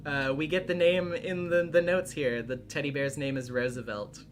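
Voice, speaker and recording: A man hosting a casual livestream, talking in a halting way and often trailing off mid-thought. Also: fairly high pitch, steady tone. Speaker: streamer and voice actor hosting a visual novel readthrough. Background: background music.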